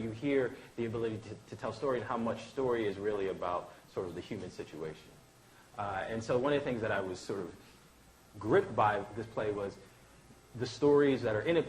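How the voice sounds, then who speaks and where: Male professor, low-leaning voice, informal and discursive live remarks, faint background noise. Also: poor audio. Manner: discursive, self-interrupting, often adds "right?". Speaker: professor, poetry teacher. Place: indoor event room. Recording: faint room noise behind.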